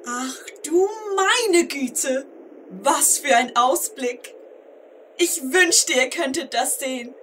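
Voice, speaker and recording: A woman's voice: high voice, expressive, takes it slowly, a bit bright, recorded from a distance, slight background noise, full character voice. A woman voicing a plucky, bossy, cheerful young kobold in a children's audio drama, emphatic and encouraging. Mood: thrilled.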